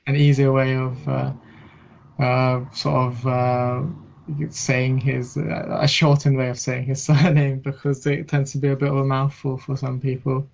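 A young man from Hungary raised in England, a journalist guest on an interview podcast, who speaks haltingly with many fillers and restarts. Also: medium pitch, flat delivery, gravelly, a little mellow, lo-fi sound. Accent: English. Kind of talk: casual interview chat.

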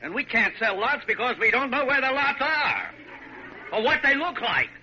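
An actor in a vintage radio drama playing an aggrieved, fussy government commissioner who complains in a plaintive whine. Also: male voice, very high-pitched, sing-song, normal speed, pushed and bright, muffled recording, a little hiss or hum, exaggerated voice. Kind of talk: 1940s radio drama acting.

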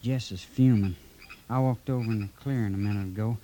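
Guy as quiet outlaw kid brother, american, soft dark tone, old radio western w faint noise. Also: on the low side, fairly quick, natural voice. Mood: worried. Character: Bob Younger, subdued younger brother in outlaw gang. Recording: slightly noisy old radio recording.

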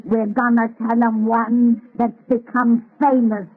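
A woman's voice: fairly high pitch, flat delivery. A woman playing a gleefully macabre hundred-eleven-year-old witch storyteller with a folksy dialect, in old radio horror style. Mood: gleefully spooky. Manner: folksy dialect, drawn-out, cackling. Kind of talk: 1930s radio horror acting. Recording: tinny, scratchy old radio broadcast.

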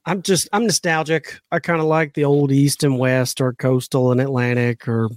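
Podcast co-host, male, mid pitch, dry close mic, easygoing and profane, slightly sick. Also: fairly even intonation, normal speed, no echo. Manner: laid-back, swears casually, nostalgic rambling. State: under the weather. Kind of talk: casual sports betting chat.

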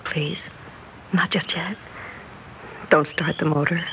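A woman's voice: sits low, fairly even intonation, slow. An actress playing a lovestruck, tender, anxious married woman in an affair, in old radio-drama style. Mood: coaxing. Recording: muffled old radio recording, background noise.

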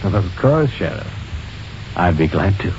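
An actor playing a polite, guarded art dealer with a hesitant manner in a 1940s radio drama. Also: male voice, low voice, very animated, a little slow, gravelly, a little mellow, soft background music, full character voice. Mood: guarded politeness. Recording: muffled, hissy old radio recording.

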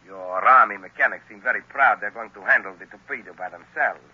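An actor playing a formal, imperious hypnotist professor, a scheming villain in a 1930s radio drama. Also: male voice, lowish voice, brisk, sharp tone, husky, no echo, full character voice. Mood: smooth evasion. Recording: muffled old 1930s radio recording.

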